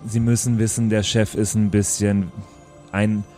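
Guy doing a grumbly old heaven office clerk, lowish soft-edged voice, fussy and officious, improv radio play. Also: little pitch movement, measured pace, soft background music, natural voice. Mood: grumbling, officious. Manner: officious, weary, clipped official phrasing. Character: curt, pedantic old heavenly bureaucrat at a lectern. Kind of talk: improvised tabletop audio play.

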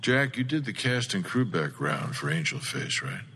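An actor playing a commanding official in a period radio drama, terse and politely insistent. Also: male voice, medium pitch, big pitch swings, normal speed, pushed and bright, a little hiss or hum. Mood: measured, insistent.

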